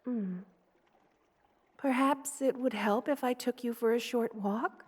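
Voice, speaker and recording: A loving spider queen played by a woman for an ASMR roleplay. Her voice sits fairly high and moves very slowly. She speaks with motherly tenderness and gently formal, soothing words, as a kindly monster would to someone in her care. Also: breathy edge. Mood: soft, comforting.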